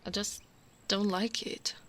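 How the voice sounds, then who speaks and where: Slow, tender orc woman guard, acted by a woman. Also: mid-range voice, flat delivery, fairly bright tone. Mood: soft, confiding. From Italy. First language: Italian. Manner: slow, intimate, often dropping to a whisper. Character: tall female orc royal guard, tender, devoted suitor. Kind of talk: ASMR roleplay, spoken softly to the listener.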